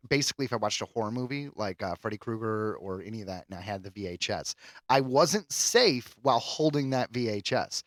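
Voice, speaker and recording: Guy on a podcast just chatting, rambles and restarts, mid pitch, really dry sound. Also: a bit bright, no room sound. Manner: rambling, halting, conversational. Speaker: podcast co-host. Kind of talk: casual chat.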